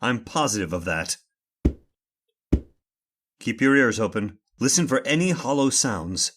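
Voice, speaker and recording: A man playing an urgent, commanding gentleman master in an old-time radio horror drama. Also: medium pitch, expressive, measured pace, noisy background, lightly acted. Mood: determined. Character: urgent, commanding gentleman trapped with his servant.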